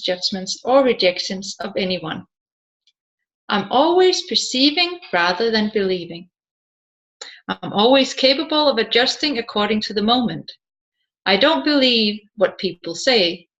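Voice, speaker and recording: High-pitched woman narrating an audiobook. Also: a bit bright, highs cut off.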